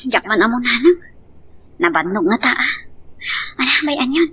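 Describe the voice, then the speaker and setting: A woman's voice: a little high, big pitch swings, normal speed, bright, forceful tone, husky, heavily acted. A woman playing an aggrieved, pleading young wife and mother in an audio drama, plaintive and questioning. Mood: dejected. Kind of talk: Ilocano radio-style drama acting.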